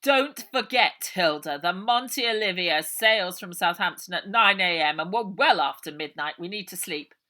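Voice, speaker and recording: A woman voicing a scheming, commanding uncle in a storybook, speaking loudly and deliberately. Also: on the low side, expressive. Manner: loud, deliberate. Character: scheming adult uncle, a loud commanding villain.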